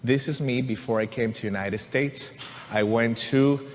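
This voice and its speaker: A man's voice: mid-range voice, normal speed. A man from Venezuela, a church musician giving an earnest, conversational personal testimony to a congregation, often saying "you know" and "right?". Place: church sanctuary. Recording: long reverb of a large church hall.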